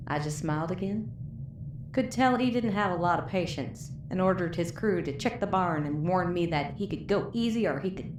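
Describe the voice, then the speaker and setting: Echoey noisy audio drama, actress doing tough southern us moonshiner gal, weary n bitter, swears a lot, low voice. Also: female voice, lightly acted. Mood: bitter, wry. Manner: folksy, profane, weary storytelling. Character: tough, bitter, foul-mouthed wasteland moonshiner woman, wounded and fading. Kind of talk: dramatic first-person storytelling in audio drama. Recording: roomy echo, clear background noise.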